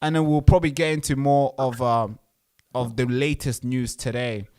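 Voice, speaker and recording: Medium-pitched man hosting an interview. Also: steady tone, moderate pace, over a noisy crowd. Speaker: talk show host, interviewer. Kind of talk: conversational political interview.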